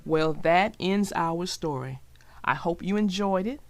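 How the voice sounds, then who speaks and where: A woman's voice: fairly low pitch, a little slow, faint music behind. A woman narrating for children, reading expressively and chatting emphatically to her young listeners. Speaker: storybook narrator and host. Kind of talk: expressive read-aloud and casual commentary for children.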